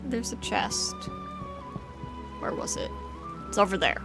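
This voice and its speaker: A woman's voice: mid-range voice, wildly swooping pitch, slow pace, creaky. A woman game streamer giving casual commentary as she plays. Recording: game music behind.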